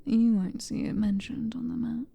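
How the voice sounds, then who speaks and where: A woman playing a cruel, possessive vampire mistress in an ASMR roleplay, with a British accent and a breathy, taunting whisper. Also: fairly high pitch, fairly even intonation, warm tone, exaggerated voice. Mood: menacing, coaxing. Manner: slow, drawn-out, taunting endearments.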